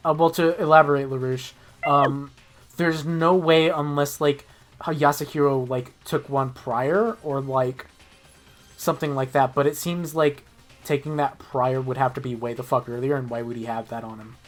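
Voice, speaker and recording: A man's voice: medium pitch, fairly even intonation, moderate pace, soft background music. A man hosting a playthrough, moving between narration and casual, sweary banter. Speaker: host reading a game aloud.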